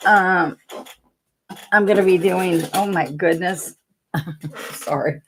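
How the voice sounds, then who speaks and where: A woman's voice: sits low, noisy background. An older woman, chatting folksily as she teaches a painting lesson and greets viewers by name. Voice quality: raspy. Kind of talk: casual livestream painting lesson.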